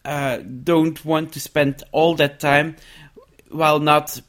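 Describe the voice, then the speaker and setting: A man with a Dutch accent, a researcher and author answering interview questions in a thoughtful, halting way with frequent restarts. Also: a little high, flat delivery, fairly bright tone. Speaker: education researcher and book author.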